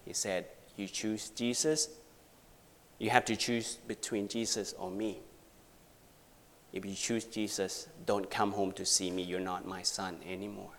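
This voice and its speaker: Thai male preacher, unhurried, halting delivery with fillers, lowish voice, long room reverb. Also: level tone, slight background noise. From Thailand. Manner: halting, earnest, many pauses and fillers. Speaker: visiting missionary preacher. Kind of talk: testimony in a church service. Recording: reverberant hall.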